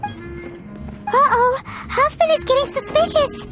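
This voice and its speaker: A woman voicing a tiny, excitable fairy companion girl in a video game, with a squeaky childlike voice and exclamatory delivery. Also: takes it slowly, heavily acted. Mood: panicked. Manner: exclamatory, speaks of herself by name. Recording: loud music behind the voice.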